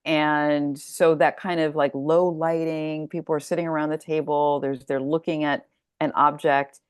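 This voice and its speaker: A woman's voice: low-pitched, level tone, a little slow, clear, resonant tone, dry recording, studio quality. A middle-aged American woman talking casually as an interview guest, thoughtful and discursive as she works out her answers. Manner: thoughtful, discursive, thinking aloud. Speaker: guest author discussing her story collection.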